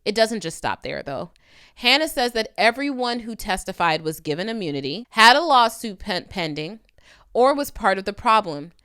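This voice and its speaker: A woman's voice: medium pitch, big pitch swings, moderate pace, a bit bright, clean tone. A woman giving conversational legal commentary, speaking directly to the viewer in an emphatic, opinionated manner. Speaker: legal commentator hosting a video channel.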